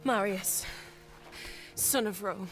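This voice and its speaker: A woman's voice: mid-range voice, slow pace, over music, natural voice. An actress playing a proud warrior queen with a defiant, solemn manner.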